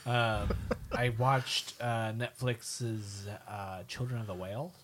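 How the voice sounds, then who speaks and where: A man's voice: fairly low pitch, steady tone, slow, noisy background. A man co-hosting a podcast, chatting casually and analytically. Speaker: podcast co-host. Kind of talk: casual discussion.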